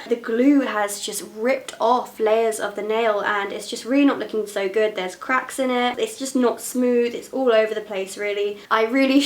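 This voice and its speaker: Young woman vlogging to camera, chatty and frank, slightly high voice, nasal from a cold. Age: 20s. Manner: chatty, frank, laughs often. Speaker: beauty vlogger. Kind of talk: casual talk to camera.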